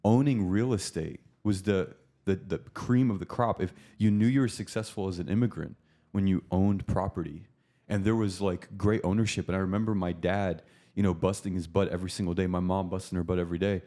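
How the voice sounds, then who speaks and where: Fast-talking deep-voiced man. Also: fairly even intonation, mellow. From Portugal. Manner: brisk and chummy, says "bro" a lot. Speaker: podcast guest, pastor. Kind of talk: casual storytelling.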